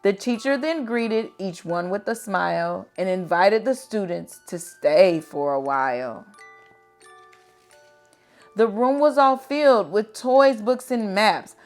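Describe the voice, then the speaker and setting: Woman reading slowly to children over music. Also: mid-range voice, lively intonation. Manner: slow, deliberate, dramatic storytelling for young children. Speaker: teacher reading picture books aloud. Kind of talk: expressive children's read-aloud.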